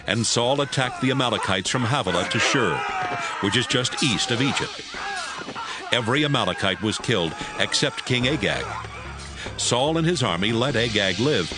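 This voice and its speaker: A man's voice: mid-range voice, animated, moderate pace, over music. A man narrating scripture in a scripted, dramatized audio Bible style.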